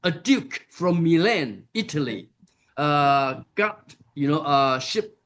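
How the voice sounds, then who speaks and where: Slow-speaking man lecturing. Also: fairly high pitch, animated, fairly bright tone. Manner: unhurried, many fillers and pauses. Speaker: conference keynote speaker. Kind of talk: academic conference lecture. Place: conference hall.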